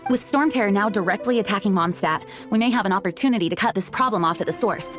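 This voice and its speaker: An earnest female knight commander from a video game, acted by a woman. She speaks at a middling pitch with formal, dutiful resolve. Music is clearly heard behind her. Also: quick pace, natural voice. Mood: urgent.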